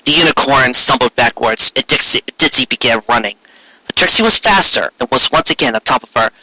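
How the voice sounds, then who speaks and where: Bright, forceful male story narrator. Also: mid-range voice, lively intonation, a little fast, no room sound, rough recording. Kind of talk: scripted narration of fan fiction.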